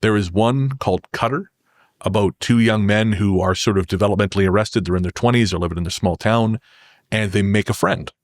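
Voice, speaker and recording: Low-voiced man telling stories casually. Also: fairly quick, dry recording, clean studio sound. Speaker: podcast guest. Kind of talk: casual conversational storytelling.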